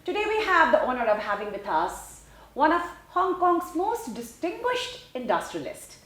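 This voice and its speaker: A woman hosts an interview show with a polished presenter's delivery. Her voice rises and falls with lively melody, and the room around her echoes noticeably. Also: high voice, normal speed. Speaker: show host. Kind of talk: scripted introduction and interviewing.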